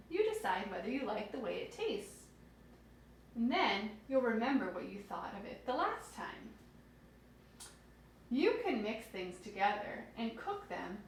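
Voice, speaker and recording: A woman reading aloud to young children as a teacher, expressively explaining things simply and putting questions to her listeners. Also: fairly high pitch. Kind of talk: children's read-aloud lesson with asides. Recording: very echoey room with faint noise.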